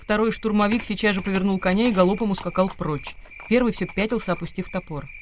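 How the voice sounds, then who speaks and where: A man's voice: sits high, quick pace, slight background noise. A man delivering dramatic scripted narration as the narrator of a radio play.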